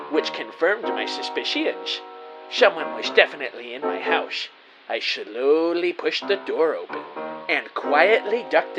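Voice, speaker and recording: An actor playing an excitable, anxious amateur spy who narrates his own story in an audio drama. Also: on the high side, dull audio. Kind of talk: scripted first-person story narration. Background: music.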